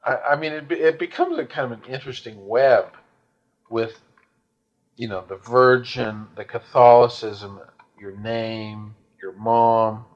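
A man asking questions in a conversational interview, halting and groping for words. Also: mid-range voice. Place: hotel suite. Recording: distant, muffled, noisy interview tape.

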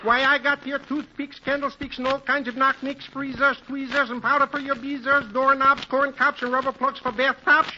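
An actor playing a cheerful peddler with wheedling sales patter and little hoots, in 1940s radio comedy style. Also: male voice, extremely high pitch, level tone, normal speed, hardly any pauses, lo-fi sound, exaggerated voice. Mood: eager selling. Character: cheerful fast-patter male peddler, comic old friend. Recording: bright thin hissy 1940s radio recording.

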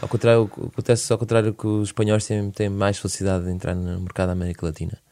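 A man with a European Portuguese accent, a singer chatting as a guest in a relaxed, hesitant way with many restarts. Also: on the low side, racing, dry recording, clean studio sound. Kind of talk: casual radio interview.

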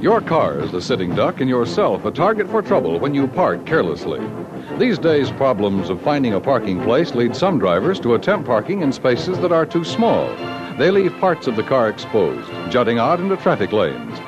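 A man's voice: medium pitch, sing-song, normal speed. A man announcing in a formal 1950s radio style. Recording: dull vintage radio broadcast recording. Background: music.

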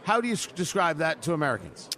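A man hosting a radio interview at a political conference, talking in a brisk, conversational way. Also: a little high, sing-song, a bit bright. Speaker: radio host.

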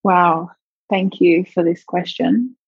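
A woman's voice: lowish voice, little pitch movement, slow pace, husky, dry recording. A woman speaking as a sexologist guest in an interview, reflective and searching, thinking aloud in long winding sentences. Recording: dull lo-fi dry sound.

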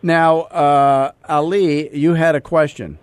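A man's voice: a little high, steady tone, slow, clear, resonant tone, dry recording. A man hosting a podcast, with brash, teasing banter. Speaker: podcast host. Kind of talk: joking radio-style chat.

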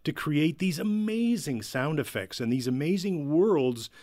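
A man's voice: fairly high pitch, animated, a little slow, a little mellow. A man chatting casually and enthusiastically as a panelist, explaining things as he goes. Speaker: podcast panelist.

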